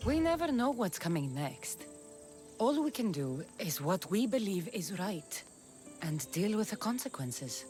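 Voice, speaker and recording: An actress playing a weary but devoted warrior mother in a video game, wry and earnest in a measured way. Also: medium pitch, sing-song, mellow, faint music behind. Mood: tender, earnest. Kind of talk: dramatic video game acting.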